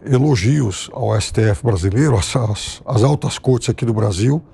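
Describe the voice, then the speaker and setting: A man's voice: medium pitch, moderate pace, gravelly, warm tone, husky. A middle-aged man commenting on a TV news panel in a studio, thoughtful and hesitant with restarts. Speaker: political commentator.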